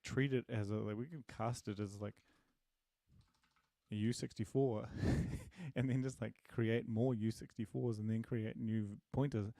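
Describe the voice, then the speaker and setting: Gravelly low-voiced man thinking aloud. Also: warm tone, dry recording, clean studio sound. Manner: halting, many fillers and restarts, thinking aloud; friendly and self-deprecating, laughs at himself. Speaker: programming teacher answering live questions. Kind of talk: casual live-stream Q&A. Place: home desk, dim room.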